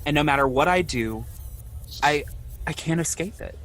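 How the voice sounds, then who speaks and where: An actor playing a lonely, ashamed man in his twenties, speaking in a halting, confessional way in an audio drama. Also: on the high side, expressive, fairly bright tone. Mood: distressed. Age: 20s. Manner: halting, confessional, repeats himself. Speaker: podcast host acting in own audio drama.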